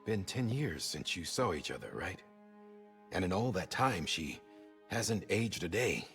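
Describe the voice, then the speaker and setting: Deep-voiced solemn, persuasive statesman. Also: big pitch swings, a bit bright, faint music behind, heavily acted. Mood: stately. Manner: measured, speechifying. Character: solemn, persuasive government official, idealistic man. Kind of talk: video game cutscene acting.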